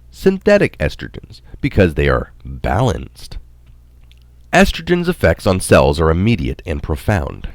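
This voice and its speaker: Deep-voiced man reading aloud, swooping intonation. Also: no room sound. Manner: deliberate, marks quotations aloud. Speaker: narrator reading articles aloud. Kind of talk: read-aloud of a scientific article.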